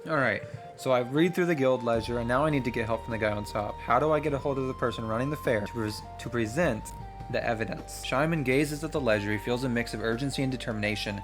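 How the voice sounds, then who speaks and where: Clear music plays behind a man with a mid-pitched voice. He is running through a role-playing game, switching between reading narration aloud and excitable commentary to himself. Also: quick pace. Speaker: solo role-playing game player and streamer.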